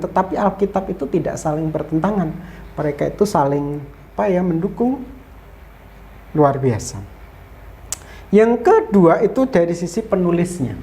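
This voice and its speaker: A woman teaches in an informal lecture style. Her voice sits on the low side and is soft-edged and mellow. She explains didactically, repeating points and adding small check-in tags. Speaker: Bible teacher. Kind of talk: informal lecture explaining Old Testament introduction.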